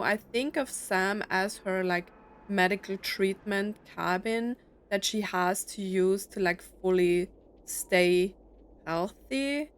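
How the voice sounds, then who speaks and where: A woman's voice: mid-range voice, slight background noise. A woman giving casual let's play commentary, rambling as she thinks aloud about a story game. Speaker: streamer playing a story game.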